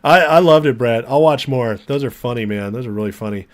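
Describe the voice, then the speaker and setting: A man's voice: mid-range voice, fairly quick. An American man hosting a casual livestream, chatting informally and chummily with viewers and reading their comments, his voice starting to give out as he recovers from illness. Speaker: livestream host.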